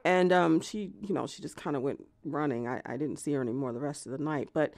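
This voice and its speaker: Casting: actress for an obsessive, vengeful jilted woman, conversational monologue for audio drama, hesitant yet quick to indignation, gravelly, close dry sound. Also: sits low, a little mellow, dry recording. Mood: evasive, confiding. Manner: hesitant, filler-strewn rambling that flares into swearing indignation. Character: jilted, obsessive woman confessing vengeful deeds, self-justifying and volatile. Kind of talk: narrated audio theatre monologue, conversational confession.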